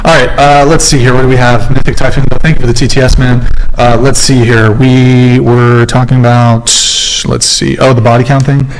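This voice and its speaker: A man hosting a live stream, chatting casually and halting often as he reads out chat messages. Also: mid-range voice, level tone, slight background noise, low-quality recording. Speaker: livestream host.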